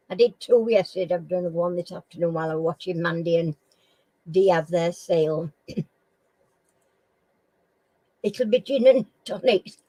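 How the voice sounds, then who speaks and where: A middle-aged woman from Sheffield with a Sheffield accent, hosting a casual live chat with viewers in a slow, homely way and often clearing her throat. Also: lowish voice, warm tone, dry recording, low-quality recording. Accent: Sheffield English. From Sheffield, England. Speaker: live stream host.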